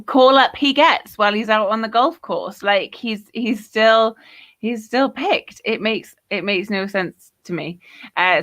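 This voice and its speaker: A woman's voice: mid-range voice, a bit bright. Posh geordie woman hosting a footy podcast, chatty, lots of ums. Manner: chatty, hesitant, many fillers. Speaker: podcast host. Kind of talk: casual football chat, reading viewer comments.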